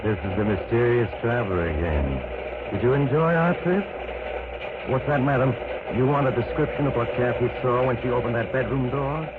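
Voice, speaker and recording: A man's voice: medium pitch, animated, fairly quick, natural voice. A man playing a sly, sinister train-traveler narrator in a 1940s radio drama. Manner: sly, teasing, confiding. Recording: noisy old radio broadcast, phone-like.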